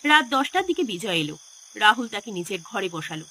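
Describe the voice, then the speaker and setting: A woman's voice: medium pitch, sing-song, a little fast, sharp tone, rough recording. A woman narrator reading a scripted horror story in a dramatic style.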